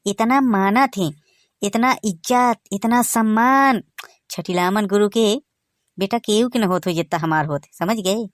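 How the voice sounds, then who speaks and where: A man with a Bagheli accent voicing a boastful village son-in-law in a comic cartoon, teasing in lively banter. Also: sits high, a little slow, no room sound, clean studio sound. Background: music.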